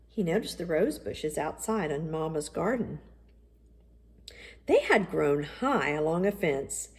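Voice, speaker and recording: Animated woman reading a children's story. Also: lowish voice. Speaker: audiobook reader. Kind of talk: expressive children's storybook narration.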